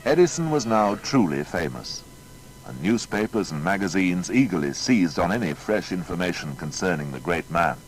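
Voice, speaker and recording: A man narrating a scripted biography in a formal, measured storytelling manner. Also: deep voice, big pitch swings, soft background music, low-quality recording.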